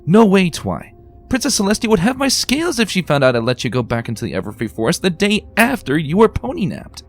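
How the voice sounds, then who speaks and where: A man's voice: sits high, huge pitch swings, brisk, pitched above the performer's own voice, heavily acted. A man voicing a worried young male baby dragon in a fan reading, protective and pleading.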